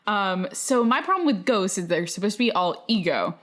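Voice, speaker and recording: A young adult woman co-hosting a podcast in casual banter, opinionated, sweary and quick to interject. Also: medium pitch, animated, a bit bright. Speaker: podcast host.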